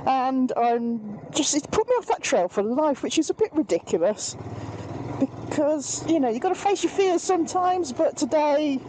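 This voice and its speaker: A woman's voice: sits high, expressive, moderate pace, background noise. A British woman giving casual, chatty, self-deprecating commentary through a helmet mic as she rides a motorbike outdoors. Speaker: motorbike vlogger. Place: outdoors, riding a motorbike on country trails.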